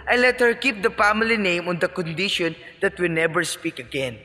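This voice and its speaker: Man playing a sharp, high-voiced haughty father. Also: a little fast. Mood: indignant. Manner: scornful, pompous. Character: cold, haughty, sexist father and company owner. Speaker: voice actor in a radio drama. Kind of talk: radio drama acting. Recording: long echoing reverb.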